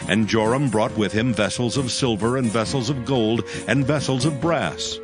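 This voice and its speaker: A man narrating scripture from a script in a formal, measured storytelling manner. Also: mid-range voice. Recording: music behind the voice. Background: music.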